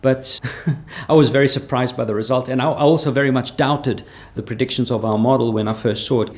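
A man with a slight South African accent, a professor explaining his research in a measured, thoughtful way with frequent pauses. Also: medium pitch, fairly even intonation, a little mellow. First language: Greek heritage, born in South Africa.

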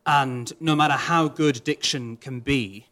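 An opera singer talks through audience questions at an even middle pitch. He is thoughtful and measured, pausing on fillers as he works out his answers. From Wales.

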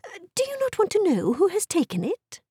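A woman voicing a fussy, excitable, scheming middle-aged mother in a period novel audiobook, with a fluttery, gushing, exclaiming manner. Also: high voice, moderate pace, no room sound, voice pushed up. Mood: excited.

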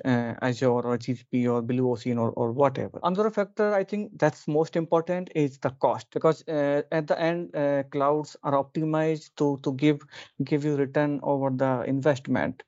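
A young adult man from India, a podcast guest, explaining point by point in a measured way with small restarts. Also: mid-range voice, a little mellow, no room sound, lo-fi sound. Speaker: podcast guest, DevOps lead. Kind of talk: conversational podcast answer.

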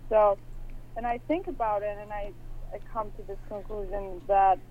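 A woman in her thirties from Iran, calling in and speaking hesitantly with many pauses and fillers about personal struggles. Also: mid-range voice, fairly even intonation. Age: 30s. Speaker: caller. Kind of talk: personal call-in conversation. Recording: tinny phone line with faint hiss.

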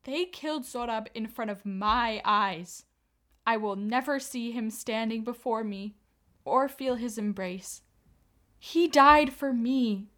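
A woman performs a young refugee in a radio play, grieving and defiant. The voice is a little high. Her delivery is intense and declamatory, with bitterness underneath. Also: slow pace, fairly bright tone.

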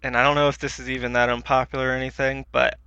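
Male podcast co-host, crisp bright voice, casual and conversational, dry slightly muffled recording. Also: mid-range voice, little pitch movement, racing, no room sound. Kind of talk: casual chat about video games.

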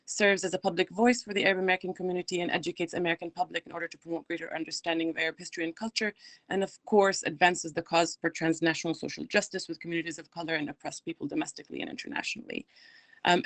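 A woman from Palestine, a guest on a radio talk show, speaking in a measured way with frequent fillers. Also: on the low side, fairly even intonation, sharp tone, dry recording. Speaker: guest, advocacy director. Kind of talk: radio interview.

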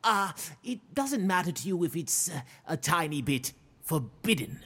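An actor playing an eccentric, reckless wizard mentor who laughs often and speaks grandly. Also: male voice, on the high side, big pitch swings, normal speed, a bit bright, husky, full character voice. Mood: gleeful. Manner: theatrical, giggly, grandiose. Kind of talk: fantasy audio drama acting.

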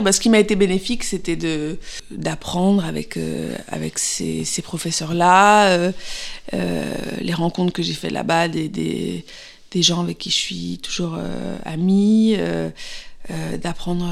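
A woman's voice: fairly low pitch, vocal fry. A woman in her thirties, an actress answering interview questions, pregnant, speaking hesitantly with many fillers and restarts.

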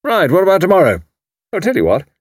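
A man voicing a hearty, blustering older British commander with an upper-class English accent and a loud, barking, clipped delivery in an audiobook. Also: sits high, huge pitch swings, quick pace, no room sound, studio quality, voice pushed up, full character voice. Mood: bluff, emphatic. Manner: bluff, emphatic, clipped phrasing.

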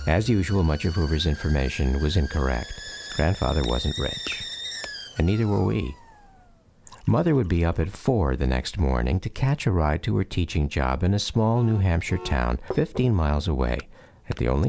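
A man narrating a radio drama as a grown son recalling his father, in measured, reflective first-person storytelling. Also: low-pitched, gravelly, heavily acted. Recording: faint noise behind.

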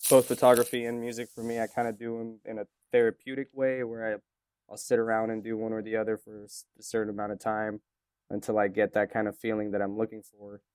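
Romanian-born man, native Romanian speaker, smooth tone, musing casual answers, loose and rambling. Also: on the low side, level tone, fast talker, a little mellow, dry recording. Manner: reflective, rambling with many fillers. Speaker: interviewee, photographer and musician.

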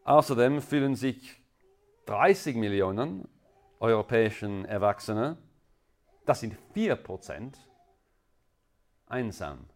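A man from England with an English accent, a native English speaker preaching as a guest in halting, deliberate non-native speech. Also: medium pitch, very animated. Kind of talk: sermon.

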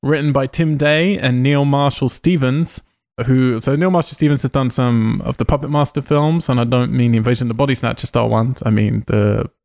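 A man's voice: medium pitch, fairly even intonation, brisk, creaky, darkish tone, dry recording, clean studio sound. A man hosting a casual film podcast, chattily reading out information and adding informed asides. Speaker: podcast host.

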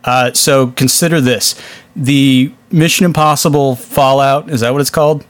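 A man's voice: mid-range voice, steady tone, moderate pace, no room sound. Casual, joking man in a dry recording. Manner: joking, conversational. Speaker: film discussion podcast host. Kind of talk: casual chat.

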